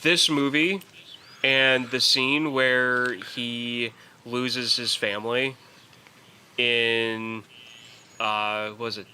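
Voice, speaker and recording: A man's voice: mid-range voice, fairly even intonation, very slow, pauses often, pushed and bright, slight background noise. A man co-hosting a podcast and casually discussing films, emphatic and opinionated, often repeating words as he works toward his point. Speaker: podcast co-host.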